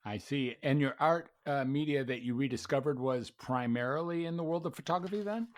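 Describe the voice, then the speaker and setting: Male host, mid pitch, conversational and affirming, frequent restarts. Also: brisk. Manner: chatty, affirming, with restarts and "mm-hmm"s. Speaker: podcast host and psychiatrist. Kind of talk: casual interview chat.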